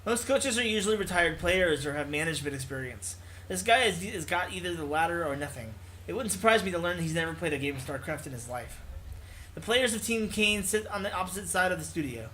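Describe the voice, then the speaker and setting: High-pitched, bright-voiced man reading aloud. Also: lively intonation, brisk, slight background noise, poor audio. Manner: stumbles over words and corrects himself. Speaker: let's player reading a visual novel aloud. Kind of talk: reading game text aloud with asides. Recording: music playing behind the voice.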